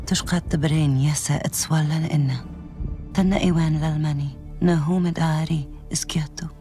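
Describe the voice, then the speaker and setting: A woman's voice: sits low, fairly even intonation, normal speed, warm tone, faint music behind, lightly acted. An actress playing a solemn North African local woman, narrating a wartime story in a video game cutscene. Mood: solemn, hushed. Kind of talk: scripted cinematic narration.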